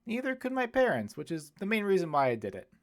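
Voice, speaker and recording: A man voicing a genial older priest, a wry former hippie who talks in gently eloquent, wordy phrases for an audiobook. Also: sits high, huge pitch swings, fast talker, voice pushed up. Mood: amused, nostalgic.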